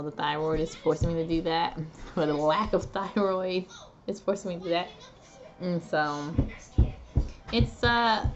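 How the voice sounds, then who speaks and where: Woman vlogger, homey and chatty, recovering voice; dull lo-fi home recording with steady noise. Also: deep voice. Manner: chatty, homey, addresses viewers as guys. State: recovering voice after neck surgery. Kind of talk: casual talk to camera.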